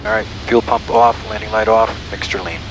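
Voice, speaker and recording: Man, pilot, low voice, casual cockpit narration, clipped phrasing, loud airplane cabin noise. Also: steady tone, fairly quick. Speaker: private pilot and aviation vlogger. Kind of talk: radio calls and casual cockpit self-narration. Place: cockpit of a small airplane in flight. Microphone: aviation headset.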